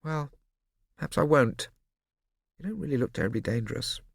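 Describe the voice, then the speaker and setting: A man's voice: medium pitch, lively intonation, normal speed, gravelly, no echo, studio quality, voice pushed up. Man voicing Ida Spavento, a wry unflappable woman. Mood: wry, composed. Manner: cool, ironic, mock-polite. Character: grown woman, dry and unflappable homeowner.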